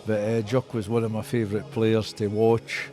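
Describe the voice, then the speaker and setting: A man's voice: on the low side, little pitch movement, normal speed, dark tone. Older Scottish Borders man, club historian. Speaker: rugby club historian, interview guest. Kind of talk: conversational interview, recounting club history.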